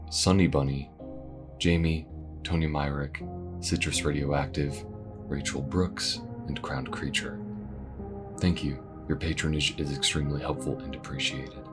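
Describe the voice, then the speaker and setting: A man reading a scripted outro as a channel creator, polite and formal in his thanks. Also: rumbling low.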